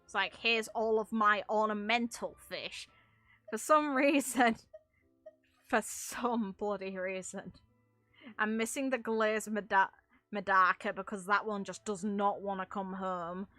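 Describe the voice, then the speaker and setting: A woman's voice: mid-range voice, fairly even intonation, unhurried, pushed and bright, no echo, soft background music. A woman streaming a game in casual, rambling commentary, talking in a blunt, exasperated, sweary way. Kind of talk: casual ranting gaming commentary.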